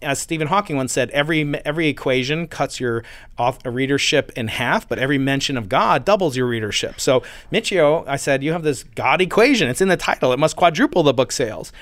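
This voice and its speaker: A man talks through his anecdotes with animated energy, pushing back on ideas as a guest in an interview. His voice sits in a middle register, and the recording is dry and close. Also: medium pitch, a little fast, no echo. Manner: animated, storytelling, pushes back. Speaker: physicist guest. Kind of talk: conversational interview. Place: university building.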